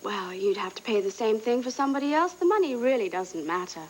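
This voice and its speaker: An actress plays a scheming woman with a somewhat high, silky voice. She coaxes and flatters, then slides into veiled threats without raising her voice. The tone is daytime soap drama. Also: fast talker, slight background noise, lightly acted, rough recording. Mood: coaxing. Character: scheming woman pressing for a hotel job.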